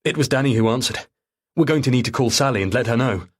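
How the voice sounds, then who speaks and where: A man's voice: medium pitch, fast talker, slightly rough, natural voice. A man voicing an anxious, bewildered father who sounds hesitant and pleading in an audiobook thriller. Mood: uneasy, resigned.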